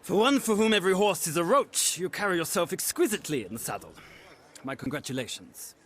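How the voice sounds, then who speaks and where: Casting: refined aristocratic horse connoisseur in a fantasy game, florid and eager, animated higher-set voice. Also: male voice, a little fast, heavily acted. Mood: courtly, appreciative. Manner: formal, florid, courtly phrasing. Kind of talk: video game character acting.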